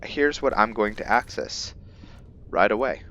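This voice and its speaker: A man giving casual let's play commentary as he roleplays, rambling and halting as he thinks aloud. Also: lowish voice, expressive, a bit bright, dull audio, slight background noise. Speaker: gaming video host, roleplayer.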